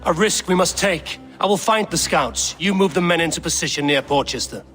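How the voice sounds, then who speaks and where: An actor playing a bold, determined young Viking leader in a video game, resolute and formal in speech. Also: male voice, fairly high pitch, a little fast, a bit bright, soft background music, close to an everyday voice. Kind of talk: dramatic video game acting.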